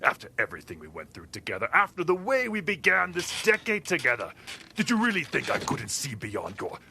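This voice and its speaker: A man's voice: on the high side, wildly swooping pitch, a little fast, gravelly, pushed and bright, slight background noise, heavily acted. An actor playing a sly, smug crime boss posing as a businessman in an audio drama, pompous and taunting, slipping between murmurs and flourishes.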